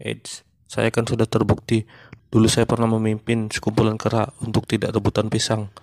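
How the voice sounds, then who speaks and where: Cartoon monkey doing standup, guy voicing him, kinda low voice, super flat and deadpan, dry close sound. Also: moderate pace, dry recording, natural voice. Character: male monkey stand-up comic running for mayor. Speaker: comedian. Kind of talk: stand-up comedy routine.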